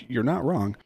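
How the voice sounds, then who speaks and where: A man's voice: medium pitch, vocal fry, breathy edge. A man co-hosting a casual podcast chat, laid-back and laughing often. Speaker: podcast co-host.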